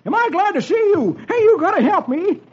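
A frantic old-West wagon driver, pitched very high and swinging wildly. He hollers in folksy cowboy talk, excitable and rattled, on a dull old radio recording. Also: brisk. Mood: agitated.

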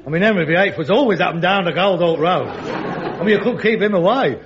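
An actor playing an exasperated, sarcastic grown son with a London accent in a sitcom, theatrical and incredulous. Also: male voice, high-pitched, lively intonation, a little fast, a crowd in the background. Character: scornful, exasperated grown son of an elderly father. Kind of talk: studio sitcom acting. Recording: dull, noisy archive recording.

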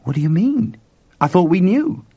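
A man's voice: sits high, big pitch swings, normal speed, dark tone, dry recording, pitched above the performer's own voice, full character voice. A man playing George, a weary skeptical engineer. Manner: dry, gruff, sardonic. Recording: dry, dull lo-fi recording.

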